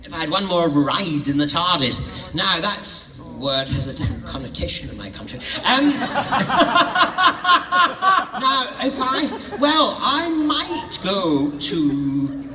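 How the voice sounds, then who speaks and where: An older man from the Scottish Highlands with a Scottish accent, telling playful, theatrical stories in answer to audience questions at a theatre show. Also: sing-song, takes it slowly, a crowd in the background. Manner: playful, theatrical, hopping between high and low tones, laughing often. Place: large theatre hall. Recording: stage mic in a reverberant hall, heard from a distance.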